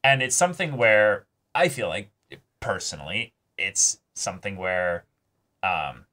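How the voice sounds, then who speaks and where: A man's voice: on the low side, very animated, measured pace, pushed and bright. A man in a casual discussion as a reaction show host, reflective and hesitant as he searches for words. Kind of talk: casual discussion of an anime episode.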